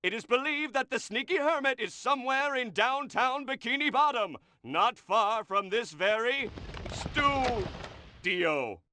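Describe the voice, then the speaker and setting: A man voicing an excitable cartoon newscaster in a video game, announcing melodramatically. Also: extremely high pitch, measured pace, no echo, full character voice. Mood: urgent. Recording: music behind the voice.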